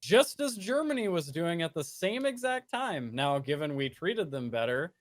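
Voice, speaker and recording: Young man in his twenties, slightly high voice, earnest and thoughtful, lots of fillers, dry close sound. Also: sing-song, normal speed, dry recording. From United States. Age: 20s. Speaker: streamer guest. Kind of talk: casual conversation.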